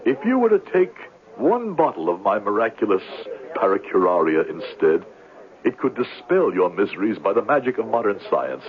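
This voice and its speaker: An actor playing a glib, irrepressible swindler posing as a healer, pompous and florid, in 1970s radio drama. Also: male voice, on the low side, sing-song, normal speed. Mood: persuasive pitch. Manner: grandiloquent, florid, indignant. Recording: narrow-band old radio broadcast.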